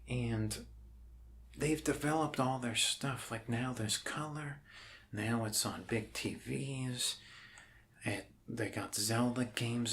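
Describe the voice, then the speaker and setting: Slow-talking, rambling ASMR man. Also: medium pitch, a bit bright, a little hiss or hum. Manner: rambling, scatterbrained, apologetic. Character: bumbling friendly doctor welcoming an alien. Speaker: ASMR performer playing a doctor. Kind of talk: ASMR roleplay, soft improvised talk.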